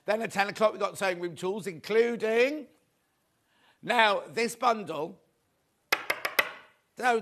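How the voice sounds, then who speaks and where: A man presenting a live shopping show, rambling through a chatty sales patter with a slightly croaky voice. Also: high voice, fairly bright tone.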